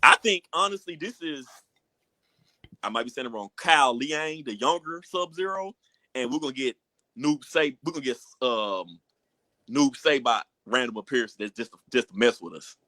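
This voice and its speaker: A man's voice: mid-range voice, lively intonation, moderate pace, pushed and bright, dry recording, rough recording. An American man talking casually with friends as a podcast host. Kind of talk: casual group podcast chat.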